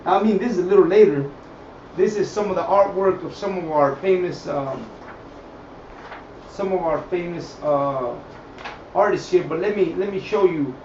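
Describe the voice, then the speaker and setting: A man's voice: sits high, moderate pace, long pauses, darkish tone. A man giving an improvised scripture and history lesson, emphatic, repeating phrases and checking in with listeners. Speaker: teacher of a religious group. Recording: echoey, distant mic, dull, faint noise.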